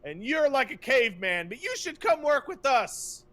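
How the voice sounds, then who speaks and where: A man's voice: extremely high pitch, sing-song, moderate pace, pushed and bright, pitched above the performer's own voice, heavily acted. A man voicing a smug old villain, a boastful and flippant leader of evil scientists, in a mock game retelling.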